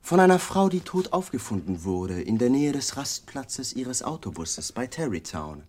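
A shrewd male detective who presses his questions calmly and politely and never lets go. His voice is slightly husky and moves freely up and down in pitch. It is a German radio play from the 1980s. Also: moderate pace. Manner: calm, persistent, politely needling questions.

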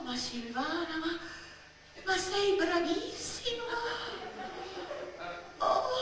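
Excitable young girl, echoey hall. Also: sits high. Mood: flustered, awed. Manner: breathless, repeating words, building to climaxes. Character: excitable young girl recalling country childhood adventures. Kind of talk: theatrical monologue, radio theatre. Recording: echoey hall from far off, noisy.

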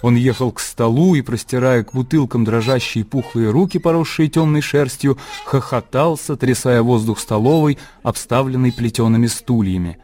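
A man's voice: mid-range voice, normal speed, few pauses, a little mellow. A man narrating a literary radio drama as scripted storytelling. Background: faint music.

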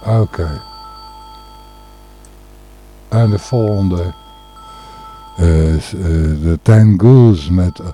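A man's voice: deep voice, very slow, pauses often, dark tone, faint music behind. A man hosting a podcast in rambling, casual solo talk, hesitant and a little distracted. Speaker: podcast host.

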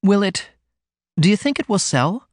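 A man's voice: very animated, moderate pace, no room sound, studio quality. A man reading aloud, voicing a prim young woman who pleads and reproaches in a raised, careful voice. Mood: hesitant. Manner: pleading, higher put-on voice.

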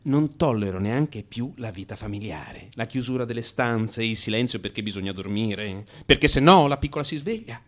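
A man's voice: lowish voice, expressive, normal speed, hardly any pauses, close to an everyday voice. An actor playing an anxious married man in crisis, confessing to his analyst in an agitated, emphatic radio theatre monologue. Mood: restless. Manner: agitated, emphatic, swinging from hushed mutter to outburst.

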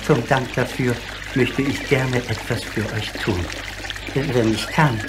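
An actor playing a gentle, courteous, lonely old giant in a radio play, explaining things in a theatrically expressive way. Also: male voice, medium pitch, slow pace, heavy background noise, natural voice. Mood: gentle, grateful.

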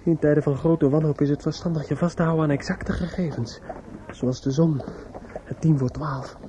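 An actor playing a desperate, distraught young man in a radio play, muttering in a halting, repetitive, obsessive way. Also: a little high, fairly even intonation, noisy background, natural voice. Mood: brooding, dazed.

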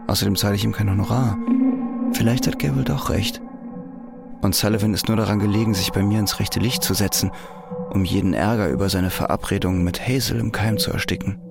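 Philip Carter, a bitter prisoner. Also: male voice, lowish voice, brisk, gravelly, over music. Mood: brooding. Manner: brooding, bitter, introspective. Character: Philip Carter, jailed innocent man, bitter. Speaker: prisoner, inner voice. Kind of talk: radio drama acting.

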